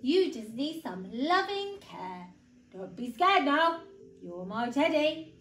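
A woman voicing a great big, lonely, silly storybook bear with a whining, drawn-out delivery. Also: high-pitched, very animated, a little hiss or hum, exaggerated voice. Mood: gently coaxing. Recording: roomy indoor echo.

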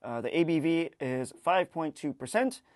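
A man, hosting a casual tasting in a halting, wry way. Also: on the high side, lively intonation, no echo, studio quality. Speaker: host of a beer tasting show. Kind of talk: casual unscripted tasting commentary. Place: kitchen studio, indoors.

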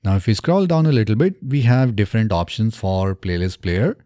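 A man in his thirties narrating a step-by-step software tutorial in a methodical, instructional way, close on a studio microphone. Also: lowish voice, a little fast, dry recording. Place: small indoor home studio. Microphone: close studio microphone on boom arm.

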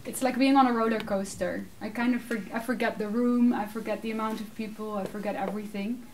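A Dutch woman in her thirties answering audience questions in a casual, animated way, laughing often; English is her second language. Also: on the high side, a little fast. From Netherlands. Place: small room with curtained backdrop, seated. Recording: distant mic, faint room noise.

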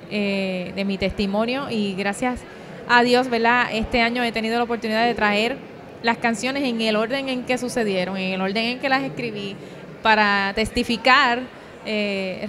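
A young woman with a Puerto Rican accent giving an earnest, hesitant personal testimony in an interview, on a handheld microphone. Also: mid-range voice. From Puerto Rico. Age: young adult. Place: convention booth. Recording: crowd chatter at event.

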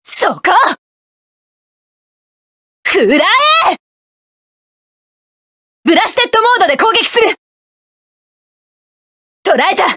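A fierce young mech pilot. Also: female voice, extremely high pitch, sing-song, slow, long pauses, dry recording, heavily acted. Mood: cool. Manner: forceful battle shouts and clipped commands. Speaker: voice actress. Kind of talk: game voice clips.

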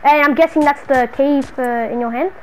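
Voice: high-pitched, moderate pace, slight background noise, close to an everyday voice, poor audio. A young performer playing a brash, exasperated young man in an improvised game roleplay, slangy and repeating bro and man. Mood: casual, chatty.

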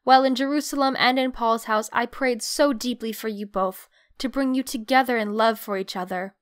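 An actress playing a devout, affectionate young grand duchess, reading a letter in an earnest, confiding way. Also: female voice, a little high, steady tone, moderate pace, smooth, lightly acted. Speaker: actor in an audio drama. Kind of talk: dramatic reading of a personal letter.

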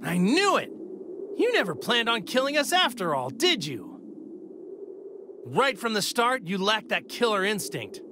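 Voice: mid-range voice, huge pitch swings, a little slow, pauses often, background noise. An actor playing a bold, straightforward male martial-arts hero in a video game, earnest and emphatic. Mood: confident, insightful.

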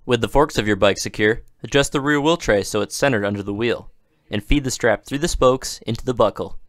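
A man giving scripted, even-toned narration for a product installation video, with steady instructional delivery. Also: mid-range voice, a little fast, dry recording, studio quality.

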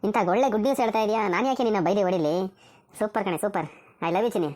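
A woman's voice: mid-range voice, lively intonation, fast talker, darkish tone, no room sound, close to an everyday voice. A woman voicing a sly, affectionate family man in a comedy cartoon skit. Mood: delighted. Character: wisecracking husband and father, affectionate yet sly.

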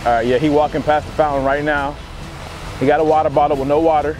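A man's voice: a little high, flat delivery, fast talker, darkish tone, soft background music. A young American man doing a casual fake phone call as a prank, talking in slangy, profane language. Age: young adult. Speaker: prankster host of a hidden-camera show. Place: outdoors on a campus walkway.

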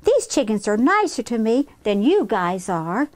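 A woman's voice: fairly high pitch, sing-song, a little slow, a little mellow, dry recording. A woman voicing an innocent, stubborn little girl in a storybook, earnest and childlike. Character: stubborn, innocent little girl who believes she's a chicken.

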